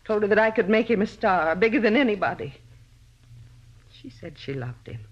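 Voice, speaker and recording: A woman's voice: medium pitch, lively intonation, normal speed, natural voice. An actress playing a possessive, middle-aged faded female star in a 1950s radio drama, pleading and justifying herself bitterly. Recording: dull vintage radio broadcast recording.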